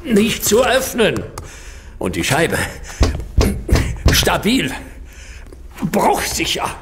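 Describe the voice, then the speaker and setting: An actor playing a hard-boiled, weary detective in a German radio play, speaking in terse sentences with dry, laconic wit. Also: male voice, sits high, wildly swooping pitch, very slow, noisy background, heavily acted. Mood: tense. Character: hard-boiled, weary male detective narrating his own case. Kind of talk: German radio play acting, noir first-person narration.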